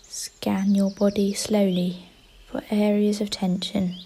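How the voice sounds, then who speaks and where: A woman leads a guided meditation with a gentle, very slow delivery. Her voice is warm and mellow, with pauses after each short instruction. Birdsong and light outdoor ambience sit faintly behind her. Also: medium pitch, level tone, slight background noise. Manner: gentle, unhurried, pausing between short instructions.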